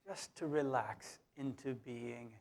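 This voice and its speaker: A man leading a guided meditation in a soothing, unhurried way, leaving long pauses between short phrases. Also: medium pitch, a little mellow. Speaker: meditation leader.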